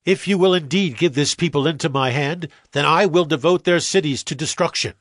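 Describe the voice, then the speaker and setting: A male actor plays a fervent man of ancient Israel in a biblical audio drama. His voice is high and declamatory, with a very dry sound. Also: brisk, dry recording, close to an everyday voice. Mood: fervent vow.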